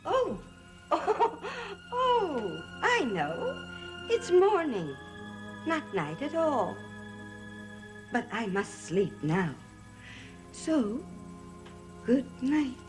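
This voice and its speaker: An actress playing a giddy, scheming, possessive woman in a gothic soap opera, speaking in a theatrical, deliberate way. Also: sits high, sing-song, exaggerated voice. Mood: giddy, dreamy. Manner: theatrical, deliberate, sing-song. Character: giddy scheming woman, possessive, grandly commanding her mute servant. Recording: old soap soundtrack with music underneath.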